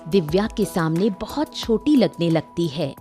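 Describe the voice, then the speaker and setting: Slow-paced woman storyteller over music. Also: fairly low pitch, sing-song. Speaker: story narrator. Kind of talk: scripted storytelling narration. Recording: music behind the voice. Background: instrumental music.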